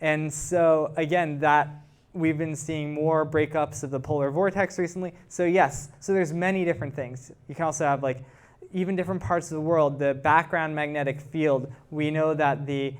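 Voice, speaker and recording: A man's voice: on the high side, fairly even intonation, normal speed, smooth. A man, a guest researcher, answering audience questions in an informal, explanatory way and thinking aloud. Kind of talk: informal scientific Q&A after a talk.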